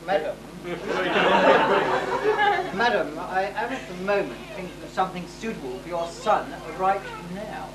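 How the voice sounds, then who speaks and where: A woman's voice: fairly low pitch, big pitch swings, slow, over a noisy crowd. A woman playing a pompous, gracious Sultan in an amateur pantomime, formal and a little hesitant. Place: amateur stage show in a hall, audience behind. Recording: echoey hall from far off, noisy audience, hum.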